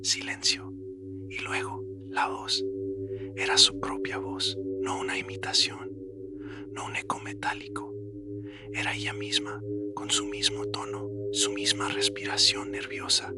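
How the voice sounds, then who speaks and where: A man with a whispery, breathy voice narrating a scripted horror story in a suspenseful storytelling manner. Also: fairly low pitch, monotone, a little slow. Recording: loud music bed under the voice. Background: loud atmospheric music.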